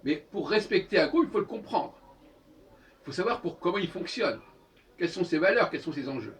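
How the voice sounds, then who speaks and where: A man gives an improvised, opinionated lecture, halting and correcting himself, repeating phrases for emphasis. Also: high voice, animated. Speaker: speaker giving a philosophical talk. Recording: distant microphone, noisy room ambience.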